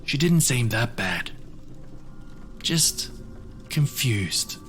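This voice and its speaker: A man playing a courteous, self-assured magical wanderer who collects stories, speaking in a measured, formal way in an audio drama. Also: mid-range voice, big pitch swings, a bit bright, faint music behind, heavily acted. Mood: calm.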